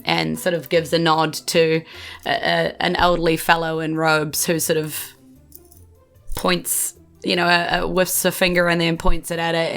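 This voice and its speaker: A woman's voice: fairly low pitch, gravelly, fairly bright tone, smooth. A woman with a New Zealand accent running a tabletop game as game master, narrating loosely with plenty of "like" and "sort of".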